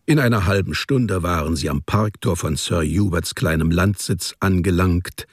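A man narrating a literary radio drama as a storyteller, measured and deliberate. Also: low-pitched, husky, dry recording, studio quality.

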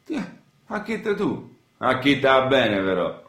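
A man's voice: mid-range voice, sing-song, takes it slowly, fairly bright tone. Cocky Roman street guy, swooping voice. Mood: restless, sly. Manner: theatrical, slangy, swinging from muttering to chanting. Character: cocky, playful young Roman street man on drugs, mock-preaching. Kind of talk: radio theatre acting. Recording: roomy, echoing sound.

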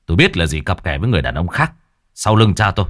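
Storyteller as a gruff hot-tempered young man, dry close sound. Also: fairly low pitch, sing-song, dry recording. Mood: angry. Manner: forceful, gruff, fast.

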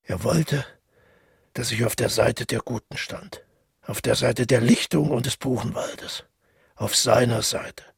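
An actor playing a haunted, brooding man who narrates a confession in a radio drama, measured and with deliberate pauses. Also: medium pitch. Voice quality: gravelly, husky. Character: tall, haunted man calmly confessing to a killing. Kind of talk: literary radio drama monologue.

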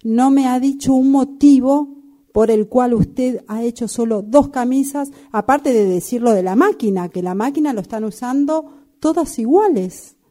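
A woman acting as a bossy, scornful workshop boss in an improvised radio drama, curt and quick to interrupt. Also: on the high side, mellow, lightly acted. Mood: scornful, demanding.

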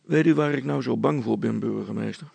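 A down-to-earth handyman from a storybook, voiced by a male reader, with a very creaky, husky voice. He is polite, practical and plainly reassuring. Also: mid-range voice, fairly quick, a little mellow, no room sound. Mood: worried, practical. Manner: matter-of-fact, reassuring. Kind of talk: children's storybook character acting.

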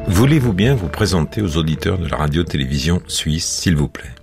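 A man's voice: deep voice, brisk, vocal fry, over music. A man hosting a radio interview, playful and digressive, pushing back on his guest. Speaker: radio host.

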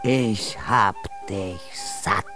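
A woman's voice: a bass voice, extremely slow, a bit bright, soft background music, exaggerated voice. An actress playing a bored, irritable, imperious queen in a radio play, with a blunt and scornful manner. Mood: bored, weary.

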